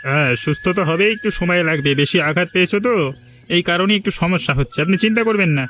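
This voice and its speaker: A man's voice: high-pitched, quick pace, a bit bright, soft background music. A man playing a courteous, firm village doctor in a cartoon, giving reassuring advice. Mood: advising, reassuring. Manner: advising, courteous. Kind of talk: cartoon dialogue acting.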